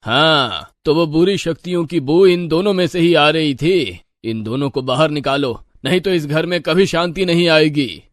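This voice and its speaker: A man's voice: fairly high pitch, normal speed, hardly any pauses, dry recording, studio quality, lightly acted. A man voicing a stern, superstitious priest in a moral story, indignant and ominous.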